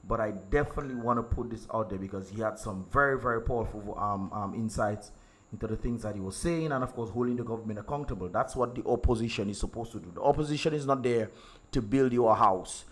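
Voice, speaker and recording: Hoarse man in his own plain low voice, loose and rambling as a livestream host. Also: fast talker. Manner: rambling, heavy with ums and repeated words. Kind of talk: casual livestream commentary.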